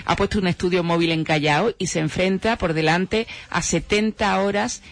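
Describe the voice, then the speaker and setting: A man with a Spanish accent from Spain delivers radio commentary in a fairly high, gravelly voice. He speaks haltingly, half reading and half chatting, and often rounds off points with a short questioning tag. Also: steady tone, no echo. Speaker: radio show contributor. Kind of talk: semi-scripted radio commentary in conversation.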